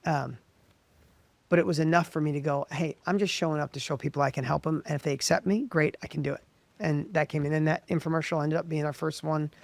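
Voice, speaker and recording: A middle-aged man from the United States telling his story as an interview guest, with a high voice, animated and full of quick restarts. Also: creaky, dry recording. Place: professional studio.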